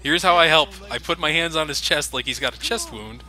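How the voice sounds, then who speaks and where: A man's voice: a little high, fairly quick, bright, forceful tone. A man giving casual, sarcastic commentary over a video game, joking about its flaws. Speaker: let's play commentator.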